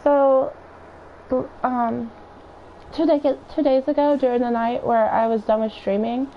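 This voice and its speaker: A hoarse woman with a raspy voice, chatting and thinking aloud in casual gameplay commentary as she streams. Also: fairly high pitch, flat delivery, measured pace. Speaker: game streamer. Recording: dull lo-fi stream audio with faint noise.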